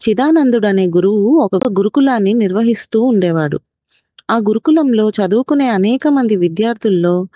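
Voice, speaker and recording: A woman tells a children's moral story in a gentle, explanatory way, like a mother to her child. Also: mid-range voice, dry recording, highs cut off.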